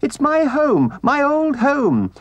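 High, pleading little mole. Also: male voice, wildly swooping pitch, takes it slowly, dry recording, highs cut off, full character voice. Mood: anguished pleading. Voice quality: high, strained voice. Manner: stammering, urgent pleading. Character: small, homesick, timid male mole, anxious and pleading. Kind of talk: storybook character reading.

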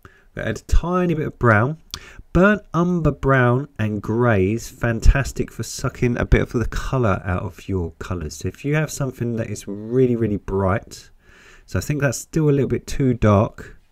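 A man with a cold, croaky and deep, chatting through a step-by-step painting lesson with an encouraging manner. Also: moderate pace, no echo. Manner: chatty, encouraging, thinks aloud while painting. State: hoarse with a cold. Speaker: painting teacher.

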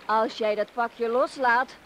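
A flirty, dangerous femme fatale in a radio drama. Her voice is fairly high and she takes her time, cooing threats like endearments. Light noise runs behind her. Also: fairly even intonation, takes it slowly, a bit bright. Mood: teasing menace. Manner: cooing, teasing, mock-affectionate threats. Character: flirtatious, menacing female crook playing sweet.

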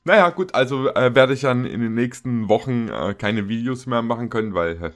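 A man's voice: medium pitch, brisk, a little hiss or hum. A man in his twenties giving casual, joking reaction commentary in a rambling, mock-serious way. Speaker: reaction video host. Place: small indoor gaming room.

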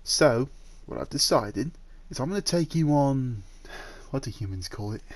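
A man playing a male dragon in human form, an eager, possessive suitor talking softly and haltingly to the listener in a roleplay audio drama. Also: mid-range voice, animated, a little hiss or hum, lightly acted. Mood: tender, apologetic.

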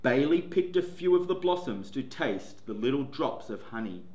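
A man in his forties, a teacher, reading a picture book aloud to children, seated in a classroom. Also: medium pitch. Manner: slow, expressive storytelling. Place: classroom, indoors. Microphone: camera in front, seated. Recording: echoey classroom.

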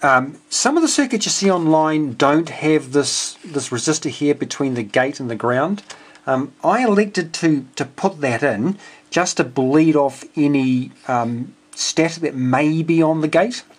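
A man's voice: fairly high pitch, lively intonation, unhurried. A man with a New Zealand accent giving a casual, unscripted technical walkthrough as a radio hobbyist, hesitant and speaking in short phrases. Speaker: amateur radio hobbyist presenting his homebuilt receiver. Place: indoors at a home workbench. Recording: clearly noisy home video with clicks.

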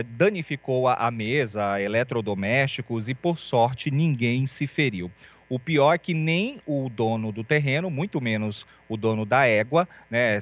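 A man's voice: mid-range voice, highs cut off. A young man reporting live for TV news, chatty and informal, with lots of fillers and small jokes. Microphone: handheld mic.

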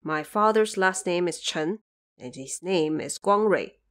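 A woman's voice: lowish voice, lively intonation, a little slow, pitched below the performer's own voice. A woman voicing an eighteen-year-old male monk who speaks humbly and pleads in an audio drama. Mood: earnest, pleading.

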